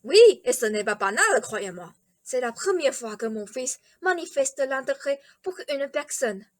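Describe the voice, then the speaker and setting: A ceremonious, over-polite king from a fairy-tale radio play, voiced by a woman. The voice is on the high side and measured, with a dry, close sound. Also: very animated, dry recording. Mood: courteous, confiding. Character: courteous, eccentric, ceremonious old-fashioned king, a doting father. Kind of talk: theatrical radio play acting.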